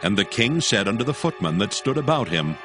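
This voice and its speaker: Low-voiced man narrating scripture over music. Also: big pitch swings, brisk.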